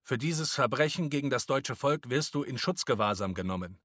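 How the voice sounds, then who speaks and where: A man voicing a menacing stormtrooper leader in an audiobook, mocking and officious in a forceful way. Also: medium pitch, lively intonation, a little fast, no echo, clean studio sound. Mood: officious, accusing. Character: sneering, menacing Nazi stormtrooper squad leader, adult man. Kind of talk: audiobook character voice.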